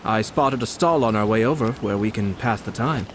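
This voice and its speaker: A man's voice: fairly low pitch, moderate pace, noisy background, lightly acted. A man playing a composed, refined young lord in a video game, speaking politely and with formal measure. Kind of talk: video game character dialogue.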